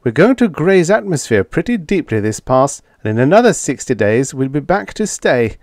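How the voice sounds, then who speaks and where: A man voicing a gaunt, tired starship captain giving a brisk, plain account. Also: a little high, sing-song, darkish tone, no room sound, clean studio sound, voice pushed up. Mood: tense. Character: gaunt, weary starship captain, steady and determined.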